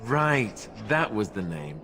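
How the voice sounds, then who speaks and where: A wry young knight, low-voiced. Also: male voice, sing-song, takes it slowly, sharp tone, a little hiss or hum, heavily acted. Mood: friendly banter. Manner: sarcastic, quipping. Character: wry, self-deprecating young male warrior monk-turned-knight. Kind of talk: video game dialogue acting. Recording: game music behind the voice.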